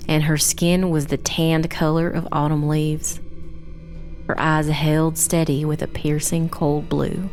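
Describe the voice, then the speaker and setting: A woman playing a young girl survivor who narrates a dark fantasy tale in the first person, measured and grave. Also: low voice.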